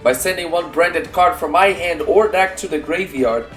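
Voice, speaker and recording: A man's voice: a little high, normal speed, fairly bright tone, soft background music, natural voice. A man playing an earnest, casual young hero duelist in an anime-style card battle roleplay, talking through his moves. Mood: determined.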